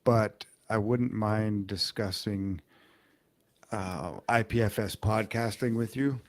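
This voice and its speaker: A man co-hosting a podcast, explaining at length in a rambling, halting way with many restarts. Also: fairly low pitch, steady tone, dry recording. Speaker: podcast co-host. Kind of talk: casual chat.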